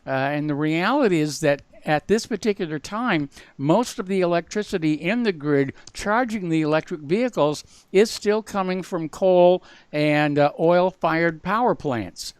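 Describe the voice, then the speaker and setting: A man hosting a talk radio show, taking calls and commenting on the news in a halting, blunt way full of "uh" fillers. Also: on the high side, expressive, smooth, dry recording. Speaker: radio host.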